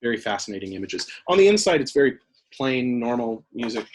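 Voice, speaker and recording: A man giving an informal, halting and thoughtful explanation of archive items as an interviewed staff member. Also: medium pitch, sing-song, slight background noise, low-quality recording.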